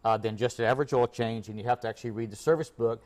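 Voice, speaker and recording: A man's voice: mid-range voice, rapid-fire, a little mellow. A man speaking as a guest expert in a casual interview, explaining things in a plain-spoken, folksy way. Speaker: auto repair shop owner, guest expert.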